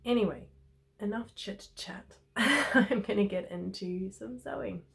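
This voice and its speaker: A woman with a New Zealand accent, slightly hoarse from a cold, chatting casually as she presents a sewing tutorial. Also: medium pitch, a little slow, a bit bright. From New Zealand, Christchurch. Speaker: sewing vlogger.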